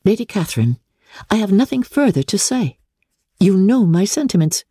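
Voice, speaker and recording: Woman acting firm, defiant young gentlewoman. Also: mid-range voice, very animated, normal speed, slightly rough, no room sound, clean studio sound, voice pushed up. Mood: resentful, firm. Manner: firm, formal, dignified. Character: resolute, resentful young gentlewoman, composed and defiant. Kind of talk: dramatic classic novel reading.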